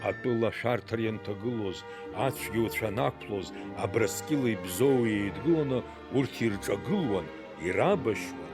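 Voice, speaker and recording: A man's voice: lowish voice, expressive, a little slow. A man narrating a scripted heroic tale as a storyteller. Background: music.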